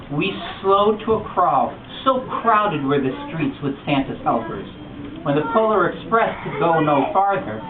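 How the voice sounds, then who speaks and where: A man reading a picture book aloud at a bookstore storytime, telling it with expressive animation. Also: a little high. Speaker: storytime reader. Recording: distant echoey lo-fi room recording with noise.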